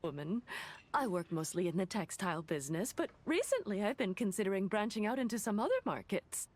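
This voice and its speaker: An actress playing an eager, inquisitive, gossipy female merchant in a video game, with an animated, polite and teasing manner. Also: medium pitch, brisk, lightly acted. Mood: friendly.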